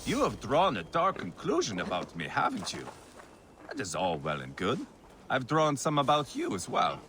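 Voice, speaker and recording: An actor playing a brash, bloodthirsty, mocking Viking warrior with a theatrical, boastful manner in a video game cutscene. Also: male voice, medium pitch, wildly swooping pitch, normal speed, background noise. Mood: teasing. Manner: theatrical, boastful, swinging between teasing and menace.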